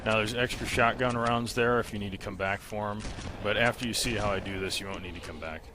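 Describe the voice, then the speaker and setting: A man's voice: lowish voice, fairly even intonation, fairly quick, background noise. A man giving casual, step-by-step instructional commentary over a video game walkthrough. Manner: explanatory, step-by-step. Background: game gunfire and music.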